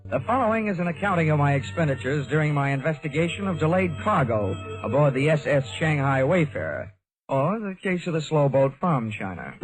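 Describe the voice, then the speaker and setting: A man's voice: fairly high pitch, poor audio. An American man playing a wisecracking insurance investigator with a wry delivery in an old radio detective drama. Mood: dry narration. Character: wisecracking male freelance insurance investigator, dry and brisk. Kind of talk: 1940s American radio detective acting, first-person narration. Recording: vintage radio broadcast recording.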